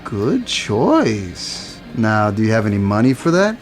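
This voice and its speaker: A man's voice: fairly low pitch, huge pitch swings, slow pace, exaggerated voice. A man playing a young record store clerk who loves goth music, with a playful, teasing way of talking. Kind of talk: audio drama acting.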